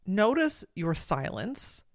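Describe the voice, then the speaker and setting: A woman hosting a podcast, talking frankly and encouragingly in a casual way with a deep voice. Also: very animated, takes it slowly. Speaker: podcast host. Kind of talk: solo podcast talk, motivational.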